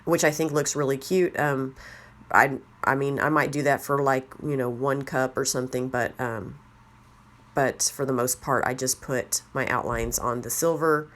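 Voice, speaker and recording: Woman, deep for her range, slightly raspy, rambling friendly tutorial host. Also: steady tone, normal speed. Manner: chatty, rambling, self-deprecating. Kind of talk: casual how-to narration.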